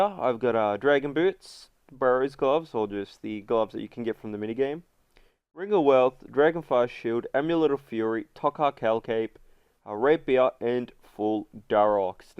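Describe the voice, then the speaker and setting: A congested man with a runny nose, chatting through a casual game guide voice-over and filling pauses with ums and uhs. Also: mid-range voice, clean tone, dry recording, dull audio. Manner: chatty, many fillers. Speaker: gaming video host.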